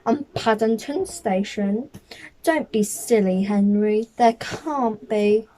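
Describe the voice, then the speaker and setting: A woman's voice: mid-range voice, takes it slowly, mellow, a little hiss or hum, lightly acted, rough recording. A woman voicing a kindly, decisive wife and mother who exclaims her lines in a children's storybook. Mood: disbelieving.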